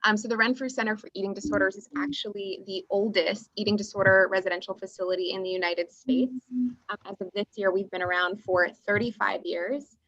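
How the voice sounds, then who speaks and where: A woman's voice: mid-range voice, brisk, a bit bright, no room sound, muffled recording. A woman whose first language is Russian, introducing her organization on a webinar, friendly and full of "um" fillers.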